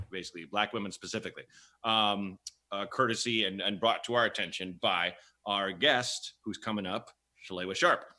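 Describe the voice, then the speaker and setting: A man's voice: fairly low pitch, bright, forceful tone. A man hosting a comedy podcast, chatting casually and telling stories in a rambling, repetitive way. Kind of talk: casual comic banter and storytelling.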